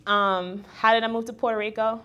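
A woman's voice: fairly high pitch, a little fast, smooth, lo-fi sound. A woman in her twenties from Guyana, a fitness trainer and entrepreneur, talking candidly as a guest in a casual interview and laughing easily. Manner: candid, laughs easily, quotes others in lively voices.

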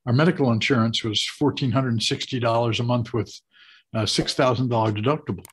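Husky older American man explaining. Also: medium pitch, a little fast. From United States. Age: 60s. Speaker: host of a retirement advice channel. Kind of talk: explanatory talk to camera. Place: small home office, indoors. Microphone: webcam video call setup.

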